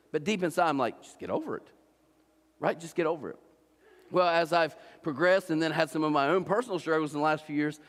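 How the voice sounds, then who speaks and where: A man in his forties from Ohio, preaching a sermon in a loose, conversational way, with restarts and frequent "right?" asides to the congregation. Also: fairly high pitch. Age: 40s. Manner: conversational, repeats and restarts, asks the crowd "right?". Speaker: pastor. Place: church auditorium. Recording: long reverb of a large church hall.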